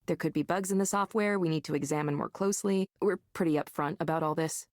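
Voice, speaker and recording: An actress playing a pragmatic, matter-of-fact tech staffer in a visual novel, explaining things casually. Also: female voice, sits low, quick pace, darkish tone, no room sound, clean studio sound, close to an everyday voice. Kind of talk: naturalistic visual novel voice acting.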